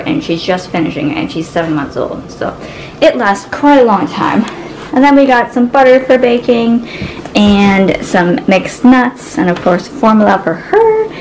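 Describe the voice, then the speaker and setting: A woman's voice: mid-range voice, darkish tone, slight background noise, poor audio. A young woman from Canada in her twenties, chatting casually through a grocery haul in her home kitchen, upbeat and listing items as she goes. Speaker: vlogger. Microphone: camera mic, handheld filming.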